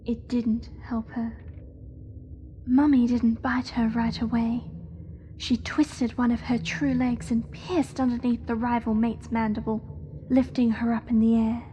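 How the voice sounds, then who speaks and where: A woman plays an eerily innocent young spider creature from a horror audio drama. The voice is high and soft-edged, with very little rise and fall. It speaks in a hushed, measured, devoted way over noisy ambience. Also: little pitch movement, close to an everyday voice. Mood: hushed, reverent.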